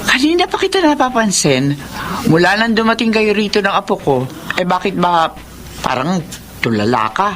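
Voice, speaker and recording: A woman's voice: medium pitch, very animated, moderate pace, fairly bright tone, a little hiss or hum. A woman playing a concerned older mother and grandmother who questions in a motherly, nagging way in a Tagalog radio drama. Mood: concerned, probing.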